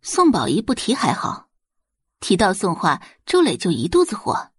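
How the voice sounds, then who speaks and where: A woman narrates an audio drama from a script. Her delivery is expressive, with a widely moving pitch and a slightly husky tone, recorded very dry. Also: moderate pace, dry recording.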